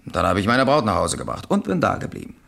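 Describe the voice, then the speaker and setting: An actor playing a brash works driver under questioning in a radio crime drama, brisk and slightly defensive. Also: male voice, on the low side, sing-song, creaky, fairly bright tone, full character voice. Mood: brisk, dismissive.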